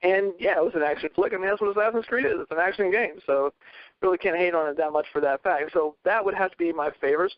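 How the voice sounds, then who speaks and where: Male panel guest, fairly high voice, explanatory, casual, dull dry audio. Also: fast, dry recording. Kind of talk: casual opinionated discussion.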